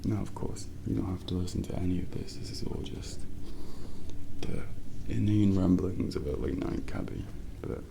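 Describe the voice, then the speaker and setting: A man speaking softly and breathily, often near a whisper, in a gentle, unhurried, reassuring ASMR role play as a late-night taxi driver. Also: low-pitched, vocal fry, noisy background. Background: rain and car sounds.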